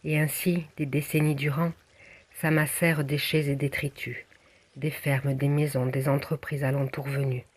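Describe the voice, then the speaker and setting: A person from southwest France reciting a poem in measured, declamatory phrases with deliberate pauses. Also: medium pitch, level tone, husky. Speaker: poem reader. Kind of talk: recited spoken-word poem.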